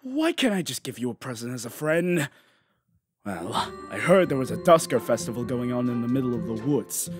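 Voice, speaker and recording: A man playing an excitable, hot-tempered young prince in a comedic parody dub, devoted and theatrical. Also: mid-range voice, moderate pace, faint music behind, close to an everyday voice. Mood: cheerful, eager. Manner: theatrical, foul-mouthed outbursts, earnest pleading.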